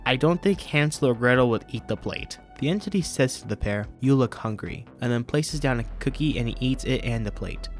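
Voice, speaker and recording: A man's voice: medium pitch, lively intonation, a little fast, few pauses, faint music behind. A man hosting a video review, narrating and commenting from a script. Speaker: YouTube host reviewing horror videos.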